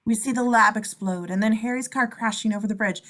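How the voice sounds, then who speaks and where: A woman's voice: fairly high pitch, fairly quick, a bit bright. A woman reading scene descriptions as narrator in a table read of a script.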